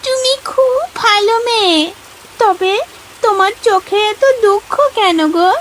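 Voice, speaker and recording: A woman voicing a small, gentle, kindly cartoon fish that speaks in a coaxing way. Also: extremely high pitch, measured pace, slight background noise, poor audio. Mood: kind, concerned. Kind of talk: cartoon voice acting.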